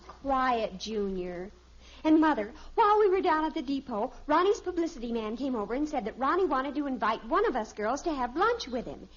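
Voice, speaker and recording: An actress playing a starstruck, dramatic teenage daughter who gushes in a 1950s radio sitcom. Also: female voice, high-pitched, sing-song, moderate pace. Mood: indignant. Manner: breathless enthusiasm, dramatic. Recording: dull old radio recording.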